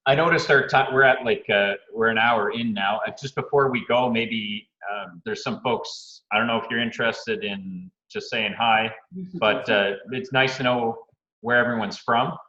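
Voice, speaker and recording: A man's voice: medium pitch, moderate pace, bright, forceful tone. A man from Canada hosting a casual video meeting, easygoing and full of fillers and restarts. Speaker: meeting host. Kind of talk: casual video-call chat. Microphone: video call. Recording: lo-fi echoey video call.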